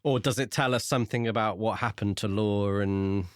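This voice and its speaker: A man's voice: on the low side, steady tone, moderate pace, dry recording, clean studio sound. A man co-hosting a podcast, talking casually about a book. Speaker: podcast co-host discussing a novel. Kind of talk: casual book-club chat.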